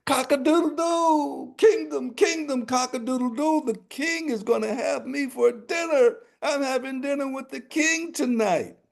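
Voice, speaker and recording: A man voicing a cheeky, boastful rooster in a high, crowing voice. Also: a little slow, creaky, hardly any pauses, heavily acted. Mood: gleeful. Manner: high crowing, gleeful and mocking.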